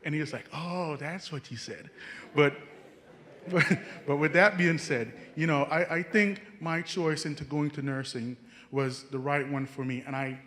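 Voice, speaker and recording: A young Jamaican man, a nursing student, telling an audience about his path in an earnest, halting way, with many restarts. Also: fairly high pitch, fairly even intonation, a bit bright. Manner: earnest, halting, frequent restarts, chuckles at his own stories. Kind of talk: personal story talk to an audience.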